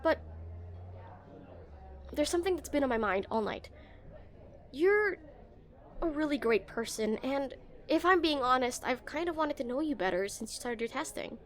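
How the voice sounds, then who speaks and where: A woman's voice: high-pitched, expressive, moderate pace, long pauses. A woman playing an awkward, smitten game developer on a dinner date in a romantic audio roleplay, nervous and stammering. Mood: shy, earnest. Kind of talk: scripted romantic roleplay, spoken to the listener.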